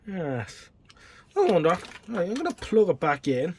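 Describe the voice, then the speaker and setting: An Irish man with a fairly high voice talks through a hands-on repair job. He is casual and self-deprecating, often muttering wryly to himself. Clear background noise runs through the homemade recording. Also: wildly swooping pitch, unhurried. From Ireland. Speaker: electronics repair hobbyist presenting. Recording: clearly noisy home video recording.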